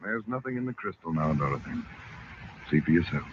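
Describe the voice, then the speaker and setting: An actor playing a grave, mysterious middle-aged uncle in an old-time radio drama, speaking in a measured, purposeful way. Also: male voice, low voice, animated. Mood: calm, reassuring. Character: grave, mysterious, insistent uncle with occult knowledge. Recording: muffled, faintly noisy vintage radio recording.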